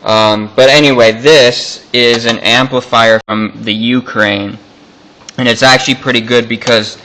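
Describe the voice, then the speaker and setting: A man giving a casual, halting, technical walkthrough as a hobbyist showing off electronic gear. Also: medium pitch, sharp tone, clean tone, rough recording. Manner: informal, halting, technical explaining. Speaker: hobbyist demonstrating radio electronics. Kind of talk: casual explanatory product walkthrough.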